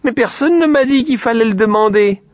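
A man's voice: very high voice, fairly quick, no room sound, lo-fi sound, voice pushed up. A man voicing a whiny, greedy little male piglet who pleads and sulks. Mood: indignant. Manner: whining, pleading, sulky.

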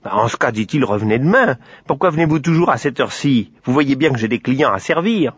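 A man voicing a gruff, impatient father who runs a café in a storybook tale, brusque and irritable. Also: medium pitch, animated, fairly quick, no room sound, muffled recording, pitched above the performer's own voice.

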